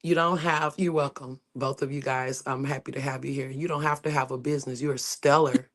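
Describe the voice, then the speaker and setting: A woman's voice: rumbling low, steady tone, a little fast. A woman hosting a casual community panel over a live video call. Her talk is loose and rambling, and she restarts sentences as she goes. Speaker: panel host.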